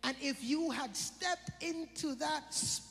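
High-voiced island preacher in a reverberant hall. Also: male voice, slow pace, a bit bright, faint music behind. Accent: British Virgin Islands. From British Virgin Islands. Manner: conversational, repetitive, addresses listeners by name. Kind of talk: sermon.

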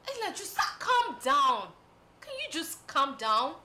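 A woman's voice: medium pitch, animated, takes it slowly, bright, forceful tone, exaggerated voice. A woman with a Nigerian accent playing an earnest, scheming wife in dramatic film dialogue. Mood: insistent.

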